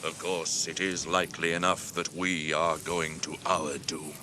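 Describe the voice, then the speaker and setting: An actor playing an ancient tree giant in a British radio fantasy drama, solemn and stirring, with deliberate, booming declamation. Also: male voice, little pitch movement, sharp tone, full character voice. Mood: solemn, resolute. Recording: noisy early 1980s radio drama effects.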